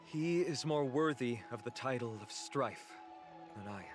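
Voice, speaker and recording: A man playing an earnest, humble, duty-bound young hero in a video game, with a sincere, measured delivery. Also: mid-range voice, lively intonation, music in the background, lightly acted. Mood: earnest, resolute. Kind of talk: dramatic video game voice acting.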